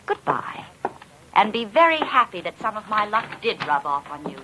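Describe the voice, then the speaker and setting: An actress playing a cool, shrewd femme fatale racket boss in a vintage radio crime drama. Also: female voice, mid-range voice, big pitch swings, moderate pace, natural voice. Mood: cool, mocking. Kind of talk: 1940s-50s radio crime drama acting. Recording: noisy vintage radio recording.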